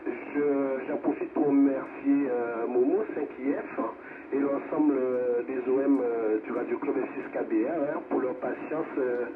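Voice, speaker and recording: A man's voice: mid-range voice, steady tone, a little slow. A man chatting informally as an amateur radio operator, heard over the air. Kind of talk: casual radio chat. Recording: noisy narrow-band radio reception.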